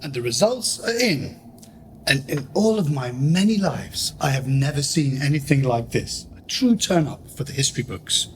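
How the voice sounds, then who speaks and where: A man's voice: medium pitch, expressive, normal speed, slight background noise, natural voice. A man playing a grandiose theatre host and poet in a radio play, pompous and declamatory. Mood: dramatic.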